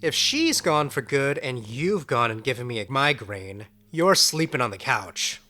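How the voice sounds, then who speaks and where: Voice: mid-range voice, very animated, unhurried, bright, forceful tone. An actor playing a hot-headed, sardonic lover in an occult audio drama, with a blunt, teasing way of talking. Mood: mock stern.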